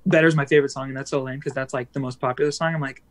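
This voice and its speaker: A man, a band musician, chatting casually as a guest in an interview, rambling with plenty of fillers and quick laughs. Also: medium pitch, fairly even intonation.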